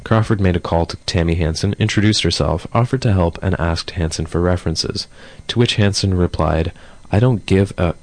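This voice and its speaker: Hoarse, very low-voiced male radio host. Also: normal speed. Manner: reads news steadily, then chats with fillers. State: hoarse from a cold. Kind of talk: community talk radio news and interview. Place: radio studio.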